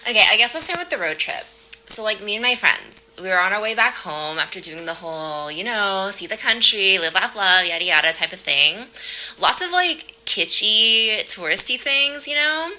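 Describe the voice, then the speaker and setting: Young woman client in an audio play, super bright voice, hesitant and chatty, slangy. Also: medium pitch, big pitch swings, normal speed, poor audio. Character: hesitant, casual young woman recounting a strange experience. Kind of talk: naturalistic audio drama acting.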